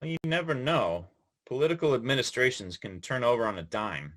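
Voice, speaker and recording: An actor playing an earnest young defense lawyer who talks with small stammers. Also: male voice, mid-range voice, animated, fairly quick, fairly bright tone. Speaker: actor in a play reading. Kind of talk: stage play reading.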